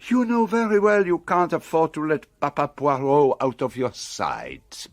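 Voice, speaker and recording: An actor playing a shrewd, courteous detective with a French accent in a radio drama, speaking in a measured, theatrical way. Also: male voice, on the high side, sing-song, no room sound, studio quality. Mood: brisk, reassuring. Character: shrewd, composed Belgian male detective, wryly courteous.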